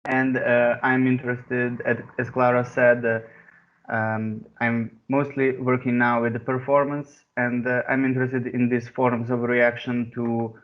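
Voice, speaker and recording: A man's voice: mid-range voice, level tone. Hesitant young man on a muffled video call. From Serbia. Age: 20s. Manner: hesitant, many pauses and fillers. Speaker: artist giving a talk. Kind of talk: online talk over video call. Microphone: computer mic on a video call.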